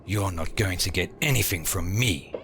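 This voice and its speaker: A man's voice: deep voice, a bit bright, slight background noise. A man playing a loyal, defiant former courtier in a sci-fi comic dub, solemn and dignified. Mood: solemn defiance. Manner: deliberate, solemn.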